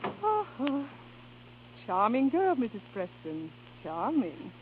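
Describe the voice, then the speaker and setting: A scratchy old radio play with a thin, tinny sound. a glamorous opera diva and estranged wife, teasing and theatrical. Her high voice swoops dramatically as she speaks. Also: very animated, slow pace. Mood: coy, amused. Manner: theatrical, coquettish. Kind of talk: 1930s radio acting.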